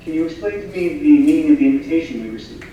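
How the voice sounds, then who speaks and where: An actor playing a dutiful personal assistant, dry and deferential, in a staged comedy reading. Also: male voice, fairly high pitch, lively intonation, very fast, dark tone, muffled recording, lightly acted. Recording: very echoey room, distant mic, faint noise.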